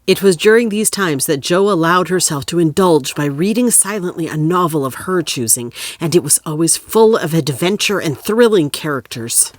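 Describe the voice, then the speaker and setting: A woman's voice: lowish voice, animated, moderate pace, hardly any pauses, no room sound, natural voice. A woman narrator delivering scripted storybook narration for an audio play. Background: music.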